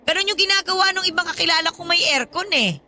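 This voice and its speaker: Older freeloading godmother, high bright voice, pushy, wheedling; radio drama. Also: quick pace, heavily acted.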